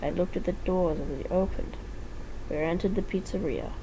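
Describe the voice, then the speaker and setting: A woman's voice: lowish voice, normal speed, background noise. A woman giving an amateur dramatic reading of a fan fiction story, sometimes stumbling over words and rereading them.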